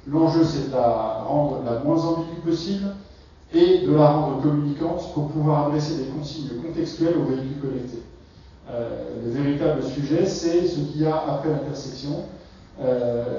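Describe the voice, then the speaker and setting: Middle-aged man, keynote speaker, hesitant with fillers, mellow voice, heavy hall echo, faint background noise. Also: medium pitch. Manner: hesitant, many filler pauses. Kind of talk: conference keynote talk. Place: large conference hall. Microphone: far 360 camera in the hall. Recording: echoey hall from far off, dull and lo-fi.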